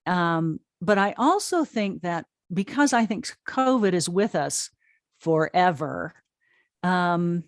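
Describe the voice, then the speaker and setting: A woman with a fairly low voice speaks as an interview guest, chatting informally and with emphasis. She sounds enthusiastic, and the recording is dry with hardly any room sound. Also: animated, slow, no room sound. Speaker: interview guest, audio drama streaming co-founder. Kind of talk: conversational interview answers.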